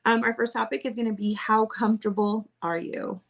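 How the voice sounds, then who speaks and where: Bubbly woman cohost, gravelly voice, chatty and teasing. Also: a little high, fairly even intonation, a little fast. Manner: laughs often, bubbly and teasing. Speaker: podcast co-host. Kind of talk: casual chat.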